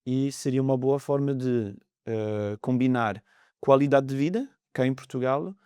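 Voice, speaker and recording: A man's voice: mid-range voice, measured pace, mellow, smooth, dry recording, clean studio sound. A man in his thirties from France with a French accent, a guest on a podcast interview, talking in a hesitant way with many fillers. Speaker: mortgage broker guest.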